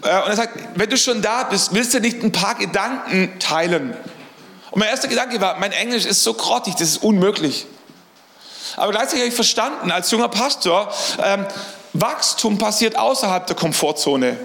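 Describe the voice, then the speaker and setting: High-voiced earnest preacher in echoey hall. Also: male voice, lively intonation, moderate pace, gravelly, fairly bright tone. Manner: earnest, repeats words while searching for phrasing. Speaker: pastor. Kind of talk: sermon.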